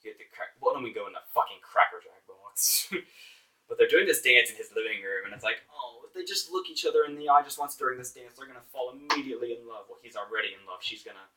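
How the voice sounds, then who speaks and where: A man's voice: mid-range voice, lively intonation, quick pace, bright, forceful tone. A man reviewing movies informally as a host, exasperated, emphatic and sarcastic, with casual swearing. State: voice getting hoarse. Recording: distant microphone.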